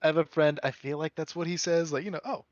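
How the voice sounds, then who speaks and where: A man's voice: on the high side, fairly even intonation, fast talker, no room sound. A man chatting casually and rambling through explanations with lots of fillers. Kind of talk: casual chat. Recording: dull dry mic.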